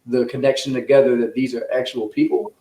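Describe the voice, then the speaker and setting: A man's voice: medium pitch, steady tone, fast, dark tone. A man from the United States answering interview questions as a guest author, conversational, earnest and explanatory. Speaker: guest, children's author and sports journalist. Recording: echoey room sound.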